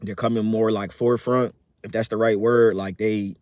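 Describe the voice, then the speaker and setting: Man, 30s, casual vlog update, lowish voice, rambling with ums and restarts, upbeat, dry close sound. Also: moderate pace, dry recording. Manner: rambling, many fillers and restarts; relaxed, upbeat. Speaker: vlogger. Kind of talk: casual personal update to camera.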